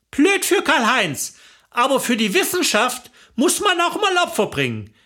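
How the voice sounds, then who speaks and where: A woman's voice: on the high side, fairly bright tone, husky. An older woman telling a comic story in a chatty, gossipy way, chuckling as she goes. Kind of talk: comic monologue.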